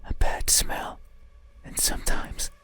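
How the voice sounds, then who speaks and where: A man voicing a melancholy, softly menacing monster in a horror ASMR roleplay, speaking in a breathy whisper with halting, poetic musing. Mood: musing, uneasy. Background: loud scary music.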